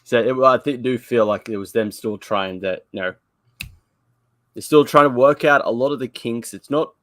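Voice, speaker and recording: A man's voice: medium pitch, normal speed, a bit bright, dry recording. A man co-hosting a casual review chat, talking in a rambling, informal and repetitive way. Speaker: show co-host.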